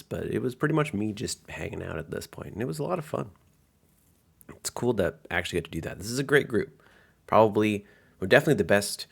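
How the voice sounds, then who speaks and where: Man, low pitch, vocal fry, game master, informal chatty commentary. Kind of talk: casual game narration and retrospective commentary.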